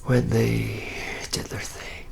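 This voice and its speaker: A man playing a reluctant, nervous young vampire in a hushed ASMR roleplay, telling his story haltingly in a breathy whisper and low murmur. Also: monotone, slight background noise, exaggerated voice. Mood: rueful, reluctant. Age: young adult. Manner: halting, hesitant, trailing off.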